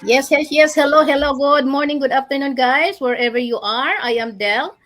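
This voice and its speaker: A woman's voice: high-pitched, normal speed, fairly bright tone. A middle-aged woman from the Philippines with a Cebuano Filipino accent, casually introducing herself. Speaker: livestream participant.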